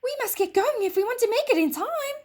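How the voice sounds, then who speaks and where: Shrill, excitable teddy bear. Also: female voice, brisk. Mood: frantic excitement. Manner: frantic, shrill. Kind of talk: children's storybook character voice.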